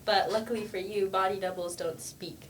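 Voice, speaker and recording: A woman's voice: fairly even intonation, a little fast. A young woman joking through an improvised job-interview game on a podcast, often laughing as she talks. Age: young adult. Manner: giggly, laughs through her pitch. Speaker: podcast guest. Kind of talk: casual improvised game chat. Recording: distant mic with loud background noise.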